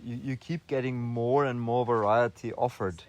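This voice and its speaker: A young man from Austria answering interview questions in a relaxed, thoughtful way, often restarting his sentences and filling pauses with "um". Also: mid-range voice, lo-fi sound. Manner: thoughtful, many restarts and fillers. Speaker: interview guest.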